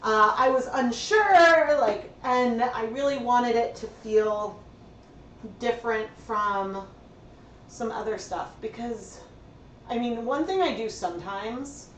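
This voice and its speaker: A slightly hoarse woman hosting a casual livestream tutorial, chatty and thinking aloud with plenty of fillers. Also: on the high side, lively intonation, takes it slowly, a little hiss or hum. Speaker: livestream host dyeing yarn. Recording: roomy sound from a distant mic.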